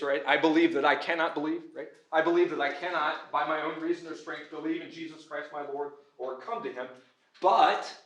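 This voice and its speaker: Higher-voiced man lecturing in an echoey room. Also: a bit bright. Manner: earnest, informal, often adds "right?" as a check. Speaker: pastor, schoolteacher and conference speaker. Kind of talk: conference lecture with audience questions. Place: room at a church and school, before an audience.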